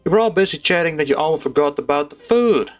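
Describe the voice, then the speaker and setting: A woman's voice: low voice, expressive, fast talker. A woman giving casual, chatty gameplay commentary in a laid-back, slangy way as she streams. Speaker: streamer playing a video game. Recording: faint game music behind.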